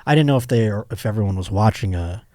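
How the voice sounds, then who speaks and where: A man hosting a comedy podcast, chatting casually and asking questions in a halting, rambling way. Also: on the low side, expressive, darkish tone, dry recording, studio quality. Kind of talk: casual comedic interview chat.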